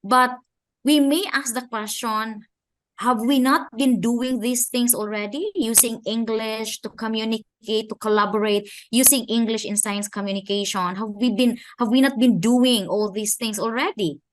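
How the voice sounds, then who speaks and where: Measured Filipino woman giving a talk. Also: a little high, steady tone. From Philippines. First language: Filipino language. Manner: measured, slows down to stress key phrases and questions. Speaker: conference speaker. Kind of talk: academic conference talk.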